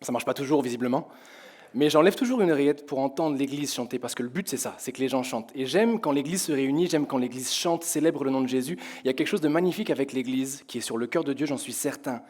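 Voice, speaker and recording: A young man from France preaching to his congregation in a church hall, his voice hoarse, talking in a conversational way and asking the congregation questions. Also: medium pitch, fast, a little mellow. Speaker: pastor. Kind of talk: sermon. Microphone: headset with in-ear monitor.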